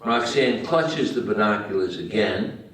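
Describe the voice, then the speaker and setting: A man's voice: lowish voice, animated, measured pace, a bit bright. A man narrating the stage directions of a screenplay at a table read. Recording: roomy, distant mic.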